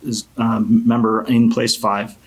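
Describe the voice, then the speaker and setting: A middle-aged man from Connecticut speaking as a city council candidate in an opening statement, halting and earnest in a measured way. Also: lowish voice, flat delivery, slightly rough, rough recording. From Connecticut, United States.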